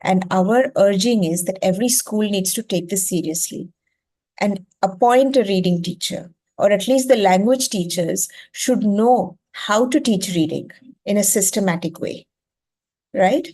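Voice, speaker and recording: A woman's voice: medium pitch, unhurried, dark tone. A woman from India with an Indian accent and Gujarati as her native language, giving an explanatory masterclass talk as an educator, emphatically repeating phrases and checking in with short questions. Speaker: educator leading a masterclass on reading.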